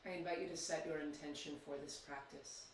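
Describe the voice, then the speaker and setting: A man leading a guided yoga meditation, speaking slowly and soothingly with long pauses. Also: fairly high pitch, little pitch movement, a little hiss or hum. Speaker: yoga teacher. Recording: very echoey, distant mic.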